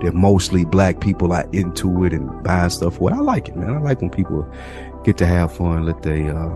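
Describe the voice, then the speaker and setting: A deep-voiced American man chats informally as a podcast co-host. Faint music plays behind his low voice. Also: expressive, normal speed. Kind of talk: casual podcast chat.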